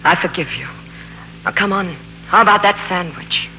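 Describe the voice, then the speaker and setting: A woman's voice: on the low side, moderate pace, close to an everyday voice. An actress playing a weary, forgiving woman in a 1940s radio drama, with a composed, understated delivery. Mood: tender, weary. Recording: very noisy old radio broadcast.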